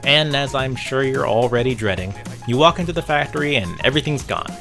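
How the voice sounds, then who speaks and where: A man's voice: mid-range voice, a little fast, gravelly, music in the background. A man narrating a casual scripted video game retrospective, with wry, sarcastic asides.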